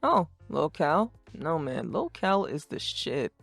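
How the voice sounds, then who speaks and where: A performer voicing a brash, sarcastic, too-cool teenage boy who rants and swears with deadpan cool in a fan-dubbed comic. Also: mid-range voice, slow pace, creaky, dry recording, exaggerated voice. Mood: defensive. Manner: foul-mouthed, ranting, deadpan cool. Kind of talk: fandub of a webcomic chat. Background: music.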